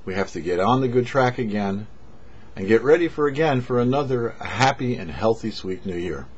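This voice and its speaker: A man, a rabbi, giving a short sermon with parables in a measured storyteller's delivery, pausing between phrases. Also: mid-range voice, expressive, slight background noise. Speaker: rabbi, host of a weekly Torah portion show. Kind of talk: sermon with storytelling, read for a show. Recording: dull, lo-fi audio.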